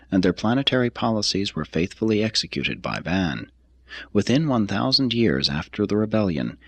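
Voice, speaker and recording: A man reading a religious text as scripted narration, in a measured, solemn and formal manner. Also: low voice, fairly bright tone, husky, no echo. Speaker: reader.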